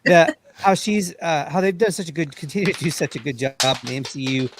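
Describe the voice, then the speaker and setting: A man joins a casual online fan panel, halting as he reaches for names and words. His voice sits somewhat higher than usual. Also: animated, noisy background.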